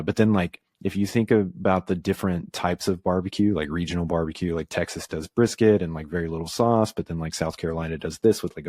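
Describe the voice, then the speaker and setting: Deep-voiced Southern-raised man, halting. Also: a little mellow, no echo, clean studio sound. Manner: reflective, halting, filler-heavy. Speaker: podcast guest. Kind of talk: casual conversation.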